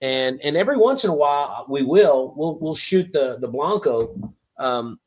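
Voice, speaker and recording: A middle-aged man hosting a comedy livestream, chatting in a rambling, excitable way with lots of stammered restarts. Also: on the high side, moderate pace. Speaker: comedy show host and tequila promoter. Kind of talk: casual banter on a livestream.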